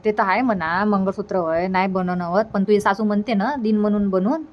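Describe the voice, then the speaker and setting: A woman's voice: medium pitch, fairly even intonation, a little fast, muffled recording, lightly acted. A woman voicing a practical village mother in a cartoon, gossipy and advising, repeating herself in a Varhadi Marathi accent. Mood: reassuring. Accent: Varhadi Marathi from Vidarbha. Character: practical, reassuring village mother of a married daughter. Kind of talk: colloquial cartoon dialogue.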